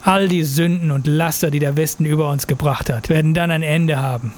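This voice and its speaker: Man acting a high-voiced fanatical militant recruiter. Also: steady tone, a little fast, darkish tone, natural voice. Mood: stern, menacing. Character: fanatical Islamist recruiter, preaching holy war to a convert. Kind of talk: scripted audio drama monologue.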